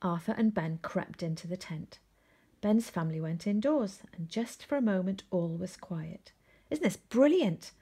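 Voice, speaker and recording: A woman reads a children's story aloud. Her delivery is expressive and made for young listeners. The voice is somewhat breathy and husky. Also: fairly low pitch, moderate pace. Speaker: storybook reader. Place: indoors at home, seated.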